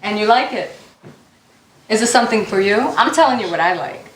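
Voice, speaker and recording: A young woman from the United States answers blunt questions in a frank, playful way and giggles often. Her voice has a middle pitch. It is recorded in an echoey room with a faint hiss behind it. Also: fairly quick, a bit bright. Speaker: guest. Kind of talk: casual interview chat.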